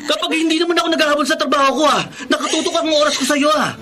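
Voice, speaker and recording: A man's voice: extremely high pitch, fairly even intonation, very fast, sharp tone, faint music behind. A man playing an earnest, exasperated young live-in boyfriend in a radio drama, speaking emphatically and pleadingly. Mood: angry, defensive. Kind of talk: Tagalog radio drama acting.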